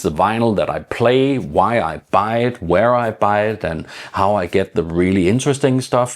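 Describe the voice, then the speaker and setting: A middle-aged man from Denmark with a Danish accent, explaining his channel strategy in an informal vlog, measured and plain, with some slightly broken phrasing. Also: mid-range voice. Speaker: channel host.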